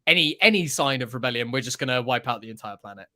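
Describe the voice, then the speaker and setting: A man's voice: medium pitch, animated, fast talker, pushed and bright. A man co-hosting a podcast, chatting casually with the enthusiasm of a film fan. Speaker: podcast co-host. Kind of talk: casual chat.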